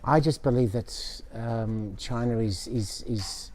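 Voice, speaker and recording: A man, raised between Australia and Italy, gives measured, casual answers with pauses as an interview guest. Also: mid-range voice, darkish tone. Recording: background noise, room ambience.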